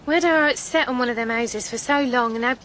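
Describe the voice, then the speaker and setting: A woman's voice: fairly high pitch, quick pace, noisy background, lightly acted. An actress playing a fretful, plain-spoken young working-class village woman in a radio soap. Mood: worried, indignant. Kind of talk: naturalistic radio soap acting.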